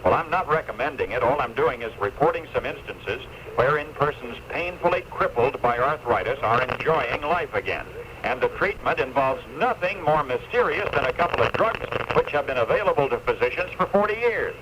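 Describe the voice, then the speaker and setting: An older man giving an earnest, deliberate, folksy commentary as a radio newsman of the 1970s. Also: mid-range voice, lively intonation. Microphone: radio played through headphones into cassette recorder. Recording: noisy lo-fi 1976 AM radio recording.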